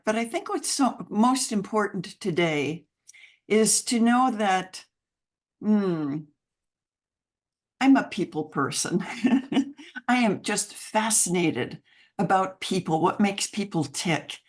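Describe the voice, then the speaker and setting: An older American woman, a mother and grandmother, speaking as a guest on a podcast interview in an enthusiastic, expressive way with frequent laughter. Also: medium pitch, slow. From United States. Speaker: guest, mentoring program founder.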